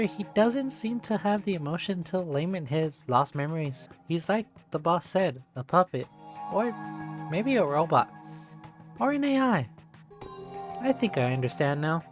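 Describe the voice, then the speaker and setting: A game streamer reading a visual novel aloud in an expressive narrating style. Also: mid-range voice. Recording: game music behind the voice.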